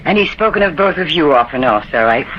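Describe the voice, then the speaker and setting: Polite proper wife, old radio play, formal and courteous, muffled old audio. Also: female voice, sits low, animated, quick pace, a little hiss or hum. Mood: gracious. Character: poised, courteous society wife, a gracious hostess. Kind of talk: old-time radio drama acting. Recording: dull old radio recording.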